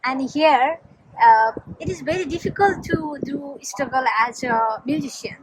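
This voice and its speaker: High-voiced host asking simple questions. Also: female voice, a little slow. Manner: simple, broken English questions. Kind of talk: interviewing. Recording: loud background noise.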